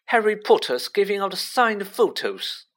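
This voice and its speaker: A man puts on a storybook schoolboy bully. He is scathing and smug, pitched a little high, with lively swoops of mockery and contempt. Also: measured pace, breathy edge, full character voice. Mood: loud, scathing. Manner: sneering, scathing, mocking. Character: scornful, jeering schoolboy bully, spoiled and smug.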